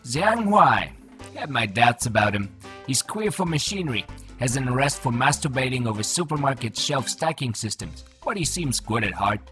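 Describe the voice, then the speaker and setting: A man's voice: medium pitch, sing-song, moderate pace, sharp tone, soft background music. Man acting a genial, lilting nanomachine man. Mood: amiable, wry. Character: genial downloaded nanomachine man, hearty old friend. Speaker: voice actor. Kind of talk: voiced comic book dialogue.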